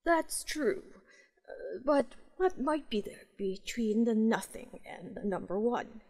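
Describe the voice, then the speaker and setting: A woman voicing a kindly, patient male master who teaches in a measured, encouraging way in a bedtime story. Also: a little high, animated, creaky, a little mellow, voice pushed down, exaggerated voice. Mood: patient, probing.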